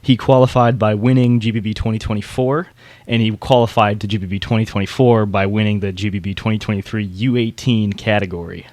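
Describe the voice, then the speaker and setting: A man's voice: fairly low pitch, fairly even intonation, a little fast, a little mellow, dry recording. A man hosting a podcast in a casual, chatty style. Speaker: podcast host.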